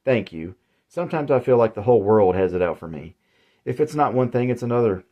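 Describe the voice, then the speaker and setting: A man's voice: medium pitch, expressive, fairly quick, highs cut off, lightly acted. A man voicing a weary, frustrated suburban housewife who talks in a chatty, exasperated way.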